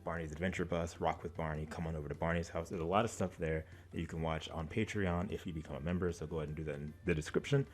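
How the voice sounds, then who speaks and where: A man with a low voice talks casually as a reaction video host. His delivery is informal and rambling, with a playfully exasperated edge. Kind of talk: casual chat to camera.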